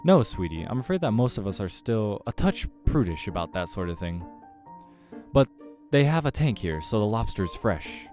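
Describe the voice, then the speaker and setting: A man's voice: on the low side, sing-song, normal speed, a little mellow, soft background music. A man voicing a polite, affable human man on a date in a visual novel, mild and accommodating. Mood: gentle. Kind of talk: acted visual novel dialogue.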